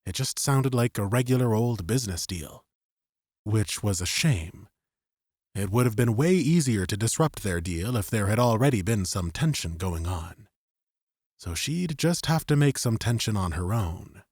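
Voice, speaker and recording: Male fiction narrator: deep-leaning, dry close recording, measured suspenseful storytelling for an audiobook. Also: animated, a little mellow, breathy edge, no room sound.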